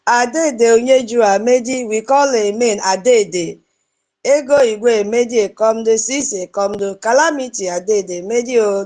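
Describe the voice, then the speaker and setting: A woman's voice: on the high side, a little mellow. A woman with a Nigerian accent hosts a live online audio room, reeling off greetings and welcomes to each listener in turn. She shifts into a lilting sing-song when she greets people in her local dialect. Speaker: host of an online audio space.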